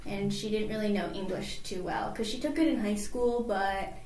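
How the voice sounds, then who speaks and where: A woman's voice: mid-range voice, moderate pace, darkish tone, a little hiss or hum. Chatty young woman from California, roomy echo. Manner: chatty, many "like"s and fillers. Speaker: guest. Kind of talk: casual interview chat.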